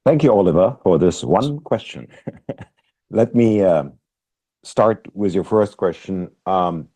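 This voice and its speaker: A man speaking as a company executive, answering questions on an earnings call in a halting way with repeated words. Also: low voice, expressive, warm tone, breathy edge.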